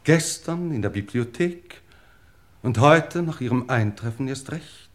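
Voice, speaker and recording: An actor playing a genteel, middle-aged lord in a 1950s German radio play, formal, ornate and deliberate in his phrasing. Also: mid-range voice, animated, close to an everyday voice. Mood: reflective, grand. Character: genteel, world-weary English lord, wryly self-justifying.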